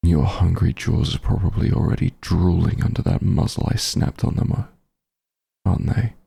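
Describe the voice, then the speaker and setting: Man acting a low, gravelly, menacing monster hunter. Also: dark tone, exaggerated voice. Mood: cold, menacing. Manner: cold, deliberate, contemptuous. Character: bitter, menacing werewolf hunter grieving his missing friend. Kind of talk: scripted ASMR roleplay, slow dramatic monologue.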